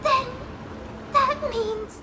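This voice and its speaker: A woman's voice: very high-pitched, steady tone, extremely slow, very noisy, full character voice. A woman voicing a timid, frightened young pony in a dramatic fan fiction reading, hesitant and anxious.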